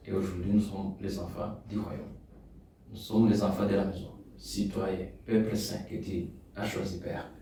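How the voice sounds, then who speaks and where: A man's voice: low voice, flat delivery, normal speed, a little hiss or hum. Man preaching in echoey hall. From Rwanda. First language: Kinyarwanda. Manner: rises loud and emphatic on key points, drops soft in prayer. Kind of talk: sermon. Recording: echoey room, distant mic.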